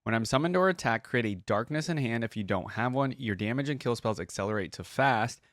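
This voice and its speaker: A man's voice: medium pitch, lively intonation, fairly quick, no echo, clean studio sound. A man giving casual, enthusiastic reaction commentary in fan slang. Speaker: reaction video host. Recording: loud music and game audio behind. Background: music from the videos being watched.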